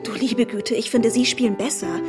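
A woman's voice: a little high, moderate pace, over music, lightly acted. An actress playing an earnest, naive, admiring young woman in a radio drama. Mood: admiring, eager. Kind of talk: German radio drama acting.

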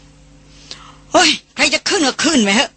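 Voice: high-pitched, animated, slow, sharp tone, pitched above the performer's own voice, heavily acted. A narrator voicing a sulky, worn-out young woman.

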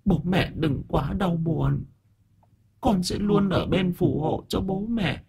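A man voicing the ghost of a young girl who speaks softly and sorrowfully. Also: high voice, slow pace, creaky, breathy edge, voice pushed up, heavily acted.

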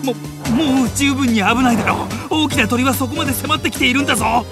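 A man's voice: very high voice, fast, music in the background. A man acting a serious, irritable older brother who flusters easily, scolding in stiff, stern speech in an anime-style audio drama. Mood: furious, panicked.

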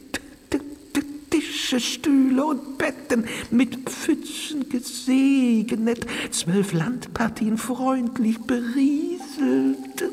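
An actress playing a mild, fussy rain spirit in a children's radio play, dainty and plaintive, dotting the verse with dripping sounds. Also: female voice, a little high, a little mellow, breathy edge, in a large hall, exaggerated voice, low-quality recording.

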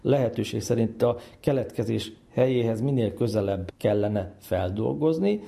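A man's voice: mid-range voice, expressive, creaky, warm tone. A man with a Hungarian accent explaining things step by step as an opinionated interviewed expert. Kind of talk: spontaneous explanatory interview talk.

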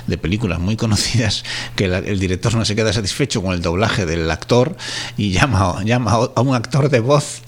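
A man's voice: fairly low pitch, moderate pace. A man co-hosting a radio show, chatting casually and informally.

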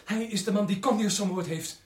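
A man's voice: sits high, a little fast, close to an everyday voice. A man acting in a radio drama as a desperate condemned prisoner, frantic and pleading. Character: desperate condemned male prisoner protesting his innocence. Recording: echoey, noisy old radio recording.

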